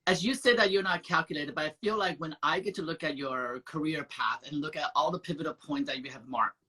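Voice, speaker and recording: Bright-voiced talk host from Taiwan. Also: male voice, a little high, fairly quick, husky. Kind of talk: casual interview chat.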